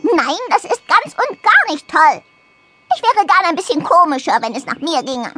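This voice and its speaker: Quirlige, sehr hohe kleine Haselmaus. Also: female voice, very high voice, very animated, normal speed, over loud music, pitched above the performer's own voice. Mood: wistful, emphatic. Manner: blunt, emphatic, chirpy. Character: tiny frank dormouse, lively and matter-of-fact. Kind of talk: storybook character voice.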